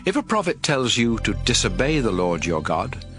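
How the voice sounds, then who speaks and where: Guy playing a solemn bible prophet laying down the law, lively pitch swings, music behind him. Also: moderate pace. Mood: stern warning. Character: male prophet lawgiver solemnly addressing his people. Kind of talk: dramatized scripture reading.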